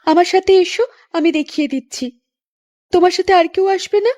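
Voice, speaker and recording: A woman voices a long-suffering young woman from a cartoon melodrama. Her voice is extremely high and girlish. She speaks plaintively and earnestly, as someone betrayed in love. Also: a little fast, a little mellow. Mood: grave, resolved. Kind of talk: animated melodrama acting.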